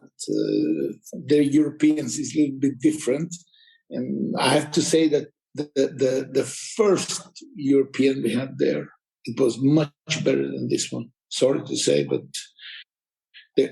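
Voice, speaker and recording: A man from Croatia with a Croatian accent, a tired guest coach speaking haltingly on a video call. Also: medium pitch, vocal fry, a little mellow, low-quality recording. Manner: halting, many fillers and restarts. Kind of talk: casual conversation.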